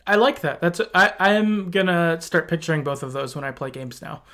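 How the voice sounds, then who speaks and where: Male podcast host, higher register, conversational and halting, informal game discussion. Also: lively intonation, pushed and bright. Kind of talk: casual video game discussion.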